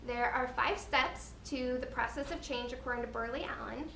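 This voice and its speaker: Woman reading through a slide talk, earnest and deliberate, bit far from the mic, faint background noise. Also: fairly high pitch, creaky, a bit bright, reverberant. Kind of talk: scripted slide presentation.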